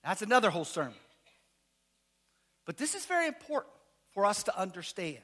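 Pastor, male, unusually high and bright voice, casual and joking, quizzes the congregation, bursts into shouts. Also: a little fast. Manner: animated, rising to shouts, asks the congregation questions. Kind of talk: sermon.

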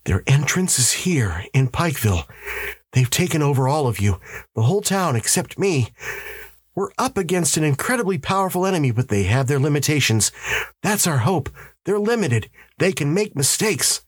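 A man's voice: a little high, very animated, moderate pace, husky, dry recording, clean studio sound, pitched above the performer's own voice. A man voicing an urgent, commanding husband in a story, insistent and barking orders as if hunted. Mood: urgent, intense. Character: urgent, commanding husband convinced of alien invasion.

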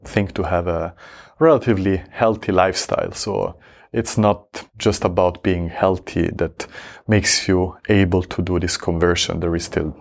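A man's voice: sits low, fairly even intonation, normal speed, creaky. A man from Switzerland, a researcher answering interview questions, talking hesitantly with many fillers and restarts. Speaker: researcher guest.

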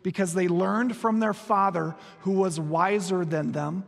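40s guy preaching, high-ish voice, jokey stories, soft then quick, big echoey hall. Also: gravelly. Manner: storytelling with humor, shifting from soft and slow to quick and lively. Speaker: pastor. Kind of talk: sermon. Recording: long reverb of a large hall.

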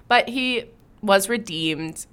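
A woman hosting a history podcast, reading scripted narration in a clear storytelling manner and bantering lightly with her co-host. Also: mid-range voice, expressive, slow pace, a bit bright.

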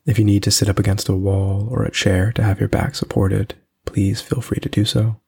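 A man hosting a podcast and leading a guided meditation in a soothing, unhurried way with long pauses. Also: lowish voice, little pitch movement, gravelly, darkish tone, husky.

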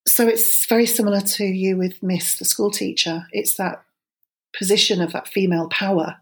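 A woman's voice: medium pitch, fairly even intonation, moderate pace, husky. A woman hosting a podcast, talking casually and explaining at length to her guest. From Germany. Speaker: podcast host. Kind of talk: casual conversational interview.

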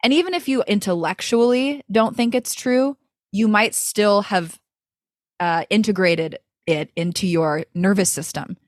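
A woman's voice: mid-range voice, unhurried, no room sound, studio quality. A woman voice coach speaking as a guest in an interview, talking in a thoughtful, flowing way with frequent "like" and "you know" fillers. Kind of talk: reflective interview talk.

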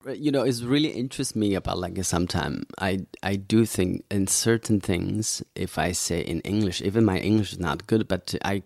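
Man, podcast guest, very deep, gravelly, halting, hunting for words. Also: darkish tone, no room sound, studio quality. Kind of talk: casual interview talk. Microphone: podcast microphone.

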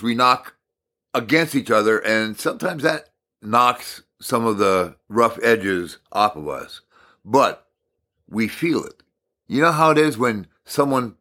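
A man teaching a devotional message in a calm, unhurried way with thoughtful pauses. His voice is a little raspy from talking a lot. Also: lowish voice.

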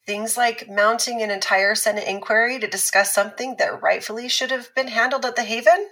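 An actress playing a calm, analytical woman expert who explains patiently and teases a little. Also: a little high. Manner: measured, explanatory, lightly teasing. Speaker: expert on abuse recovery. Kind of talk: scripted podcast drama dialogue.

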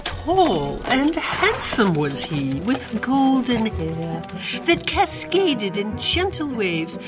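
Slow, dramatic storytelling grandmother. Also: a little high, very animated, heavily acted. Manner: deliberate, ornate, old-fashioned phrasing. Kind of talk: theatrical radio storytelling. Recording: music behind the voice.

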